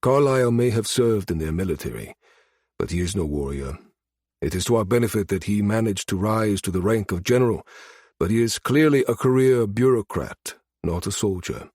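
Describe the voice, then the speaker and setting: A dutiful, guarded minister of state security, played by a male narrator in a science fiction audiobook. His voice is low and measured, calm throughout, with a dry studio-like closeness. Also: dry recording. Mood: measured, analytical.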